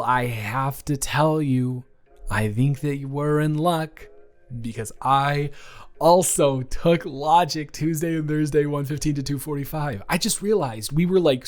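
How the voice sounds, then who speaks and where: A man's voice: fairly high pitch, lightly acted. A young man playing a friendly, slightly awkward college student in a roleplay audio, talking casually and hesitantly to the listener as a dormmate. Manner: rambling, animated, hesitant casual speech.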